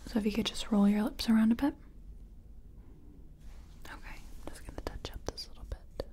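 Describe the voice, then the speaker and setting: A woman doing a soft-spoken ASMR roleplay as a makeup artist, whispery and breathy, murmuring hesitantly as if half to herself. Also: on the high side, fairly even intonation, normal speed.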